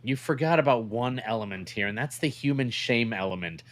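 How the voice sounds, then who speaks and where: A man's voice: mid-range voice, expressive, fairly quick. A man co-hosting a podcast in casual, sarcastic banter, needling as he talks. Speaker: podcast co-host.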